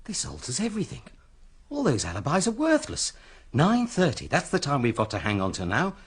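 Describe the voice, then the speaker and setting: An actor in a radio drama playing a brisk, exasperated English police inspector with an emphatic, businesslike manner. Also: male voice, a little high, huge pitch swings, husky, exaggerated voice. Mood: exasperated, urgent.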